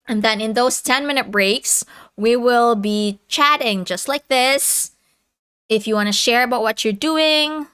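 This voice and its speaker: Bright, clear-voiced woman streamer. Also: on the high side. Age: 30s. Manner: chatty, laughs often, reads chat aloud. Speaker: livestream host. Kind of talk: casual livestream chat.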